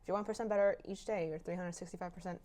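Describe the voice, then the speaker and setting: A woman hosts a casual interview podcast. She talks quickly in a slightly low voice for a woman. Her manner is encouraging and affirming, in an everyday conversational style. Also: steady tone, mellow.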